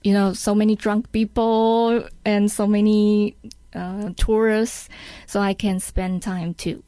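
Slow-paced Taiwanese-accented woman, interview guest. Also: mid-range voice, level tone, dark tone, dry recording. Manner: halting non-native English. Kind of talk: casual interview chat.

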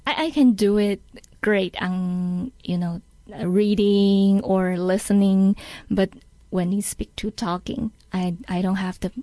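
Slow-paced Taiwanese-accented woman, interview guest. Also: mid-range voice, steady tone, warm tone, no room sound. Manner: halting non-native English. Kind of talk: casual interview chat.

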